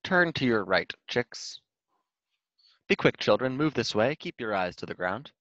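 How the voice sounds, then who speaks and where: A man's voice: medium pitch, very animated, a little slow, a bit bright, dry recording, lo-fi sound, pitched above the performer's own voice. A man voicing a busy, distracted mother quail who hums and bosses her chicks in a storybook. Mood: distracted, bossy. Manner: bossy, humming distractedly.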